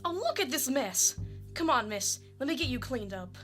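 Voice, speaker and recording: A blunt, mothering housekeeper played by a woman, with a very high voice and folksy, no-nonsense manner. Music runs clearly behind her in the radio drama. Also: sing-song, moderate pace, a bit bright. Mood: brisk, soothing. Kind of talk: audio drama acting.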